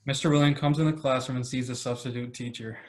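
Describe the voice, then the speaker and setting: A man's voice: mid-range voice, steady tone, fast talker. A man narrating scene directions from a script at an amateur table read.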